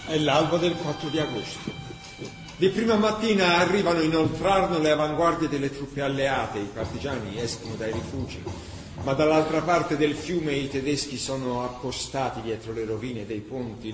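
A man narrating a scripted radio drama with an emphatic, declamatory delivery. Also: fairly high pitch. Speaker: actor narrator. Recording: echoey room with faint background noise.

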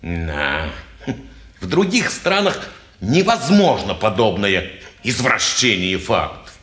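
A peevish, embittered salesman in an old stage drama. His lowish voice swings widely as he grumbles in indignant, preachy tones. The recording is a roomy 1950s theatre production. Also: big pitch swings, slow pace, a bit bright, heavily acted. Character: peevish, embittered sewing machine salesman, a husband. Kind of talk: mid-century Russian stage acting.

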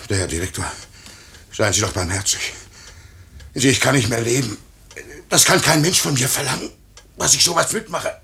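An actor in a 1950s radio play voicing a broken foreman in his fifties, halting, stammering and repeating himself. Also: medium pitch, creaky, sharp tone, breathy edge, exaggerated voice. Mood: desperate pleading. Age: 50s. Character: broken, pleading 58-year-old aircraft works foreman.

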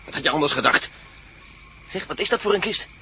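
Pragmatic male sidekick for radio drama: dry, impatient, rapid delivery over audible noise. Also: fairly high pitch, expressive, creaky, sharp tone, full character voice.

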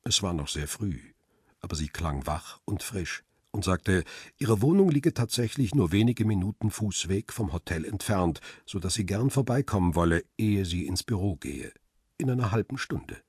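An actor playing a world-weary middle-aged photographer who narrates a crime radio play in a measured, reflective way. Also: male voice, low-pitched, a little mellow, husky, dry recording, studio quality. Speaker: first-person narrator.

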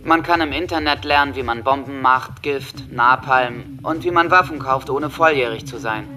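An actor playing a hateful, megalomaniac teenage school shooter with intense, seething delivery in a documentary radio drama. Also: male voice, medium pitch, moderate pace, over music, lightly acted. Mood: smug.

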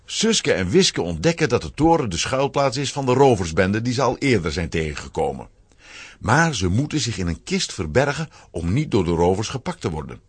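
A male narrator telling a children's adventure tale with a Flemish accent. His lowish, husky voice moves with a wide, expressive range. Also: moderate pace, no room sound. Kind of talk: scripted storytelling narration for a children's audio drama.

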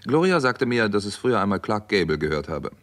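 Reserved, wry doctor-narrator, lowish voice, lively intonation, vintage radio crime play. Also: male voice, brisk. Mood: dry, composed. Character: wry, reserved male doctor narrating his detective story. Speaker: lead actor and first-person narrator. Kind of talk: 1960s German radio crime drama acting. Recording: 1960s radio recording, faint background noise.

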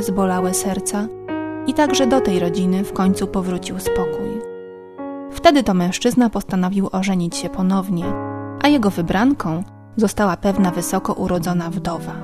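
A woman storyteller reading a scripted fairy-tale narration. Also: medium pitch. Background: plucked guitar music.

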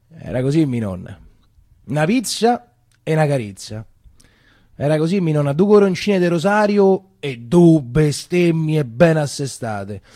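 A man's voice: on the high side, lively intonation, slow, long pauses, warm tone, no echo, clean studio sound. A man with a Roman accent acting a theatrical storytelling monologue in a colloquial, confiding way. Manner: colloquial, confiding, repeats phrases. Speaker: stage actor.

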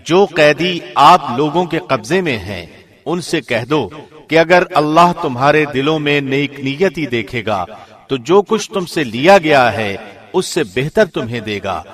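A man reading a scripture translation from a script in a measured, formal announcer's delivery. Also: fairly high pitch, lively intonation, a bit bright. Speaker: translation reader, radio host.

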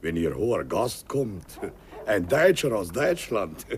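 An actor playing a short, stout village stationmaster who talks folksily and deferentially, in a radio play. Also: male voice, fairly low pitch, very animated, slow, slight background noise. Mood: folksy.

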